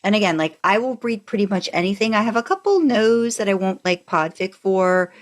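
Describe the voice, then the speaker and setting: A woman with a medium-pitched voice in casual podcast conversation. She comes across as easygoing, and she tends to repeat short phrases as she talks. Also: lively intonation, fairly quick. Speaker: podcast co-host.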